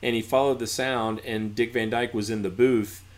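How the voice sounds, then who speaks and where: A man's voice: mid-range voice, fairly even intonation, normal speed. A man with a Southern accent, a podcast guest chatting casually and telling rambling anecdotes. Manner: storytelling, rambling with restarts. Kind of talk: casual chat.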